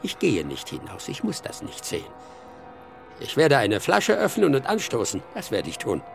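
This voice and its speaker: An actor playing a mocking, morbid, cynical ship passenger in a radio drama, sneering and theatrical with a creaky voice. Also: male voice, mid-range voice, huge pitch swings, normal speed, faint music behind. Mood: gloating.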